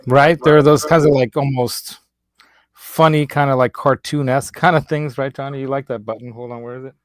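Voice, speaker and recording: Show host, man, faint Hungarian accent, rambling chatty delivery, quick to laugh, dry close sound. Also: medium pitch, steady tone, no echo, soft background music. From United States. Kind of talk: casual chat.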